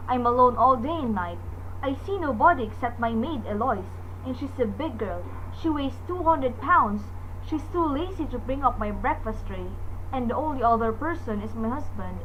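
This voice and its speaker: A woman's voice: sits high, bright, forceful tone. A woman playing a nervous, demanding bedridden wife in a radio suspense drama, with fretful, melodramatic delivery. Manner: fretful, breathless, melodramatic exclamations. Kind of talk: old-time radio suspense acting. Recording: dull lo-fi audio with hiss.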